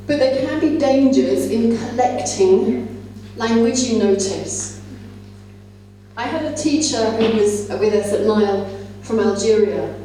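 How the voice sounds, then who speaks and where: A very echoey, distant recording of a woman presenting to teachers in a large room, with faint noise behind her. Her voice is soft-edged and mellow. She explains in a conversational, instructive way, as a plenary speaker at a conference. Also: a little high, expressive, unhurried. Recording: echoey hall, distant mic, faint hum.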